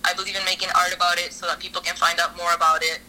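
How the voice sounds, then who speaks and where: A woman's voice: on the low side, flat delivery, rapid-fire, sharp tone, rough recording. A young woman from Argentina, an artist talking casually in an interview, rambling with many fillers and restarts.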